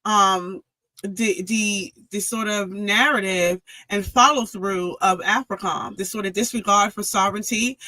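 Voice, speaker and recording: A woman's voice: mid-range voice, bright, forceful tone, no room sound, low-quality recording. A woman speaking as a political analyst guest on a podcast interview, building her argument analytically with frequent restarts.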